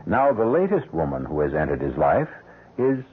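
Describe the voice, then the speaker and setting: Very deep-voiced, sardonic mystery narrator. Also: male voice, sing-song, moderate pace, slightly rough, exaggerated voice. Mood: wry, sardonic. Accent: American. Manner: wry, sardonic. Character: wry, sardonic male storyteller of murder mysteries. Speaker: host and narrator. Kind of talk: 1970s radio mystery narration. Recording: tinny old radio recording. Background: loud music.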